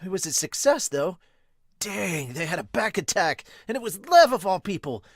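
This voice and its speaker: A man voicing an excitable young male volleyball player in a manga dub, loud, urgent and exclamatory. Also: high-pitched, moderate pace, no room sound, studio quality, voice pushed up, heavily acted. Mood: excited, shouting.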